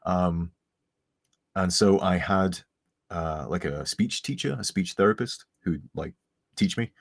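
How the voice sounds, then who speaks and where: A man's voice: deep voice, measured pace, creaky, dry recording, studio quality. A man from England with a mostly neutral English accent and a slight northern tint, chatting casually and rambling on a live charity stream. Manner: chatty, rambling, self-deprecating.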